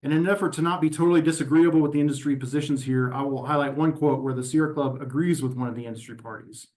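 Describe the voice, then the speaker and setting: A man speaking as an advocacy representative, delivering prepared testimony at a regulatory hearing in a measured, methodical and formal way. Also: medium pitch, fairly even intonation, a little mellow. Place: virtual meeting.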